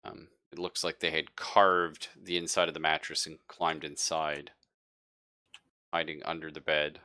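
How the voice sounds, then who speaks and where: Man, game master, low pitch, bright forceful tone, improvised horror narration, casual halting delivery. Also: flat delivery.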